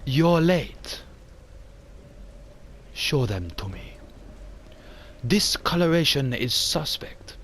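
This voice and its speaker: A man's voice: fairly high pitch, animated, extremely slow, long pauses, fairly bright tone, slight background noise, full character voice. A man voicing a cold, suspicious crime boss with a formal, commanding manner in an amateur motion comic.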